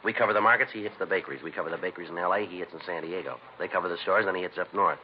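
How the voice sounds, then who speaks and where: An American man playing a terse, dogged police detective in a 1950s radio crime drama, speaking in a deadpan, clipped, businesslike way. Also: deep voice, very fast, natural voice. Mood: weary, dogged. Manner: deadpan, clipped, matter-of-fact. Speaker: detective and narrator. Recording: dull, noisy vintage radio recording.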